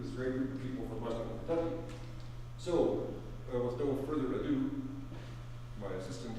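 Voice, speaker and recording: A man's voice: mid-range voice, warm tone. Older man, Texan accent, rambling lecture, far from the mic, echoey hall, faint hum. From Texas. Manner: rambling, chatty, many fillers. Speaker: guest lecturer. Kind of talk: public science talk.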